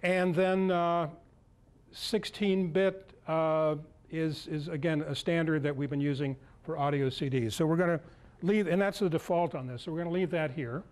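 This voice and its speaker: Older man with a slightly high voice. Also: steady tone, a little mellow. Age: 60s. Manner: halting, searching for words. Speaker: lecturer at a club workshop. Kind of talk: informal live demonstration. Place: indoor meeting room, speaking to a group.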